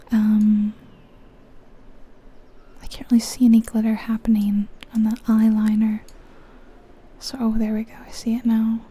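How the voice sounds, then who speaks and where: A woman whispering breathily in an ASMR style, musing aloud in an unhurried, soft way as she comments on a game. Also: a little high, a little hiss or hum. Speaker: ASMR video creator.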